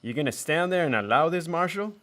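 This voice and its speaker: A man's voice: on the high side, lively intonation, fairly quick, a bit bright. Man playing a bullying western troublemaker. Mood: scornful, angry. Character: bullying, hot-tempered male western troublemaker. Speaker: actor in a radio theater. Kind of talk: western radio drama acting.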